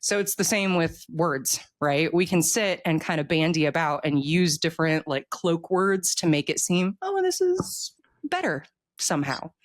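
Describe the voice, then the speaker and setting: An American woman in her forties talking casually as an interview guest, telling stories in an animated way. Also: low voice, normal speed, dry recording. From United States. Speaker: author guest, military veteran.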